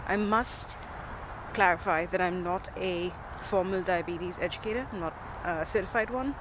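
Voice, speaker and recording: A researcher speaking informally but earnestly in a measured, formal way, explaining things in a monologue. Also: medium pitch. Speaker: diabetes researcher and podcaster. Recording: loud outdoor traffic or car noise.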